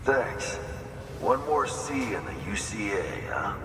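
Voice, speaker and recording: Game cutscene: helpful, folksy outpost man, lowish voice, plainspoken, echoing space with clear background noise. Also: lively intonation, slow. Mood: folksy, businesslike. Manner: folksy, drawling turns of phrase. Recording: echoey hall, distant, noisy ambience.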